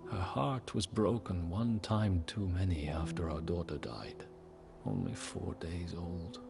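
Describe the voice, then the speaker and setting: An actor playing a solemn, grieving widowed medieval king, narrating his story in a game cutscene with grave, measured pauses. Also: low voice, expressive, music in the background, heavily acted. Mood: sorrowful, remembering. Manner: grave, measured, pausing, often breathy at phrase ends. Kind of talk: dramatic first-person storybook game narration.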